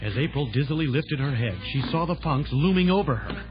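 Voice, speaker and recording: A man's voice: medium pitch, lively intonation, a little fast, close to an everyday voice. A man narrating a children's adventure audio drama with a theatrical announcer's delivery. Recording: dull band-limited old audio drama recording. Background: loud dramatic music.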